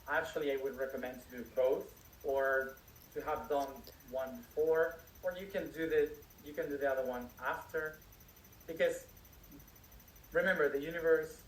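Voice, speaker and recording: A man answering audience questions as a spiritual teacher, speaking slowly with many restarts and fillers. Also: medium pitch, a little hiss or hum. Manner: slow, searching speech with many restarts and fillers. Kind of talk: live Q&A, unscripted. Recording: echoey room, distant mic, faint crickets.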